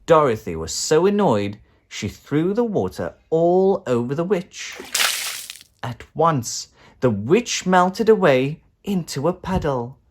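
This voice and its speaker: A man narrating a children's storybook in an expressive, dramatic way. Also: on the high side, slow, a little hiss or hum. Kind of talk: children's storybook read-aloud.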